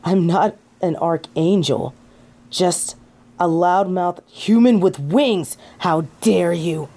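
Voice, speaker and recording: A woman's voice: fairly low pitch, slow, dark tone. A woman playing a snobby, indignant fallen angel in an audio roleplay, with pompous, formal, archaic phrasing and an easily affronted manner. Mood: outraged. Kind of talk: scripted audio roleplay acting.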